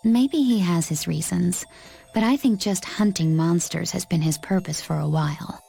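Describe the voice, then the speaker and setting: A mellow, softly dark-toned young warrior woman from a fantasy game. She is poised and measured, cool-headed in everything she says, over faint music. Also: fairly low pitch, creaky, slightly rough, heavily acted. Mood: composed, serious. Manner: measured, composed. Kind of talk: English game dub voice acting.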